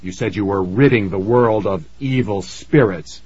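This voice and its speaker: A man's voice: lowish voice, normal speed, a little mellow, slightly rough, no room sound, lo-fi sound. A man voicing a vengeful male ghost villain in a children's horror audiobook, menacing and declamatory. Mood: raging.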